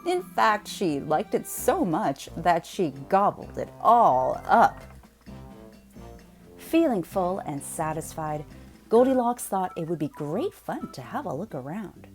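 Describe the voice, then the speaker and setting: A woman reading a children's picture book aloud in a playful, expressive way, drawing out words for emphasis. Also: on the low side, slow, faint music behind. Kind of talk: animated children's read-aloud narration.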